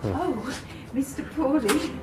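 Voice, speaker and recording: An actress in a radio horror drama playing a timid, eager middle-aged English spinster, breathy, apologetic and halting in manner. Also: female voice, high voice, lively intonation, music in the background. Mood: flustered, apologetic. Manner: flustered, deferential, halting.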